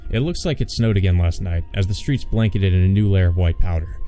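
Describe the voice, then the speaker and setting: Low, mellow-voiced man, game playthrough. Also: faint music behind. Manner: halting, repetitive stammer in asides. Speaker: let's play host. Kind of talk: reading visual novel aloud with casual commentary.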